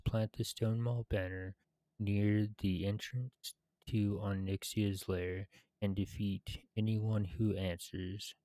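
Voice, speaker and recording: A man in his thirties reading game quest text aloud in measured phrases, with short pauses and some casual narration. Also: lowish voice, darkish tone, no echo. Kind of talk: scripted read-aloud with casual gameplay narration.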